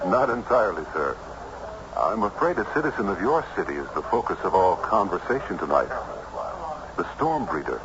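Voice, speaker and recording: An actor playing a dignified, curious traveling judge in a radio drama, speaking in a formal, articulate way. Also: male voice, low voice, sing-song, moderate pace. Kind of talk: 1970s radio drama acting. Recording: noisy, muffled old radio broadcast.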